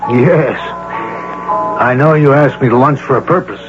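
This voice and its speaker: A man's voice: medium pitch, normal speed, lightly acted. An actor playing a frank, matter-of-fact male office confidant in a radio drama, with a plain-spoken American delivery. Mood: frank, amused. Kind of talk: old-time radio drama acting. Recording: dull radio recording with music behind.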